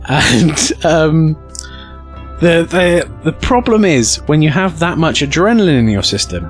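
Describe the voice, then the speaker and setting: A man's voice: a little high, a little slow, soft background music. A British man streaming to a live chat, telling casual comedic stories with crude, self-mocking humour and acting out the dialogue. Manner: acts out dialogue with excited outbursts. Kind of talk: animated casual comedic storytelling.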